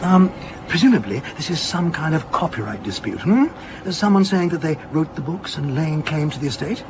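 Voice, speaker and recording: A man's voice: a little high, normal speed, background noise. An actor playing a male academic curator in a radio drama, explaining his field with pedantic, self-amused eagerness. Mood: wry, keen. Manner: explanatory, donnish, animated. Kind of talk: naturalistic radio drama acting.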